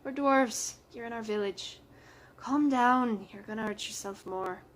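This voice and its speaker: A woman voicing a strong male dwarf villager, firm and gruffly reassuring, in a fantasy story. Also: a little high, a little slow, a little hiss or hum, close to an everyday voice. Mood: firm, calming.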